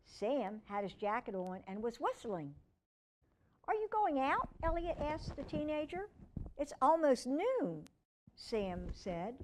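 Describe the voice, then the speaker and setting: Animated woman reading storytime to children. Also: fairly high pitch. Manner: expressive, chatting to young listeners and a pet. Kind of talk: reading a children's book aloud with chatty asides.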